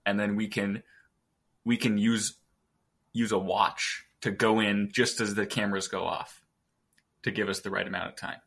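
A man playing a tabletop game, eagerly planning out loud with the group. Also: lowish voice, level tone, normal speed, creaky, pauses often. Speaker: player. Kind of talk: casual game chat.

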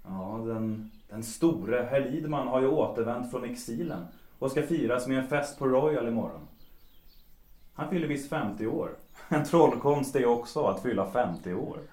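An actor playing a cynical, self-destructive bohemian composer in a period audio drama, world-weary and mocking, with self-pity underneath. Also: male voice, mid-range voice, animated, darkish tone, lightly acted. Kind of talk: period stage-play audio drama acting in Swedish. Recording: roomy, echoing sound.

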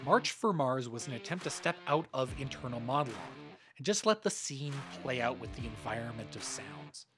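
A man's voice: mid-range voice, lively intonation, a little fast. A man narrating a scripted retrospective podcast as its host and producer. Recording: music playing behind the voice. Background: background music.

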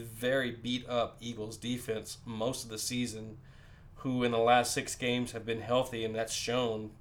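Man in casual sports chat. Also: medium pitch. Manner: confident, rattles off stats, jokes with co-hosts. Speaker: podcast co-host.

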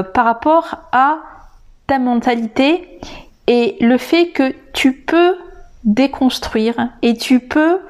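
A woman talks to her listeners in an unscripted podcast lesson. She speaks slowly, in a fairly high voice. She often stops to hesitate and restart. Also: a little mellow, clear, resonant tone. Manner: hesitant, many filler sounds and restarts; addresses the listener directly and informally. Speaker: English teacher and podcast host.

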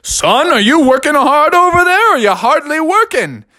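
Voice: high-pitched, big pitch swings, normal speed, bright, forceful tone, no echo, clean studio sound, pitched above the performer's own voice, heavily acted. A storyteller doing a hearty, teasing bear dad.

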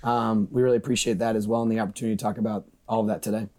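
A man's voice: lowish voice, flat delivery, racing, a little mellow. A man speaking as an interview guest, talking informally and enthusiastically about his brand's work. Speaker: guest, brand conservation representative. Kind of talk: casual promotional interview talk.

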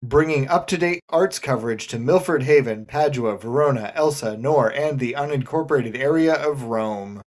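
A man playing a reluctant, easily flustered host of a small-town community radio arts show, hesitant and deadpan in an audio comedy. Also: medium pitch, normal speed, few pauses, natural voice. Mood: announcing.

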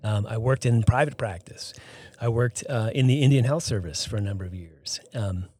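A middle-aged man from the Boston area, a physician and health center CEO, answering interview questions thoughtfully with many pauses and restarts. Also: fairly low pitch, a little mellow, slightly rough. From Boston area, United States. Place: studio.

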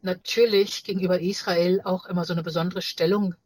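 A middle-aged or older woman from Germany recounting personal experiences as an interview guest, reflectively and haltingly, searching for words. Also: on the low side, steady tone, darkish tone, no echo, poor audio. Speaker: interview guest, journalist and activist.

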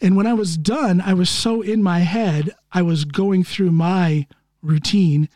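A man with a notably high voice hosts a casual podcast chat, talking freely and laughing often. His sound is close and dry, with no room around it. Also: steady tone, unhurried, a little mellow, dry recording. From United States. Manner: chatty, laughs often, self-deprecating. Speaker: podcast host. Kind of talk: casual conversational interview.

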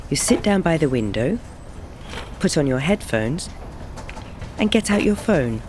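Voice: mid-range voice, very animated, a little slow, darkish tone, slight background noise. A narrator reading scripted narration for an audio drama trailer and historical account.

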